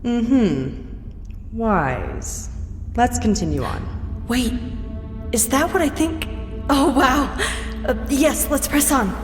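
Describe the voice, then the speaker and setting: A man voicing a composed young woman mage, speaking in a measured, polite falsetto. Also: lively intonation, full character voice. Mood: calm. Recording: echoey hall with music.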